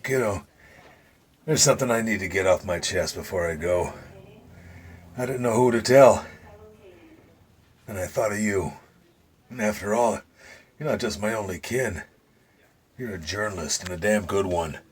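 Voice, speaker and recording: An actor playing a dying retired Secret Service agent in an audio drama, older, gruff and folksy, weary and confiding, with dry humor. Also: male voice, fairly low pitch, normal speed, pauses often. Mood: resigned, confiding.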